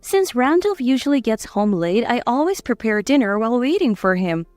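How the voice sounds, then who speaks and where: A woman's voice: fairly high pitch, sing-song, normal speed, a little mellow, dry recording, soft background music. A woman narrating a scripted first-person story as its storyteller.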